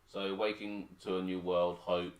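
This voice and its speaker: A man from Hong Kong with a very deep voice, chatting and joking as he co-hosts his son's piano livestream and reads out viewer comments. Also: level tone, a little slow, dull audio, a crowd in the background. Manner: chatty, joking, self-mocking. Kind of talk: casual chat reading viewer comments.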